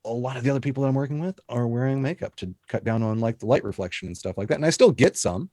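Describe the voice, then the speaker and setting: A man with a low voice, an esports caster talking through his home setup in an easygoing, detailed way over a video call. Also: racing, dark tone, no echo, studio quality. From Texas. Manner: easygoing, detailed, rambling explanations. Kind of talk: casual interview chat over video call. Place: home broadcast setup room. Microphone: studio dynamic mic on video call.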